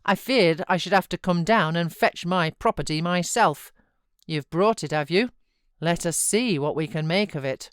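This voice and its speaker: A woman's voice: lowish voice, moderate pace, dry recording, studio quality, close to an everyday voice. A woman voicing a grim, sneering father in an audiobook, with mocking, domineering exclamations. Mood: gruff, sardonic. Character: grim, sneering, scornful man, harsh father. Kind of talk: audiobook character voice.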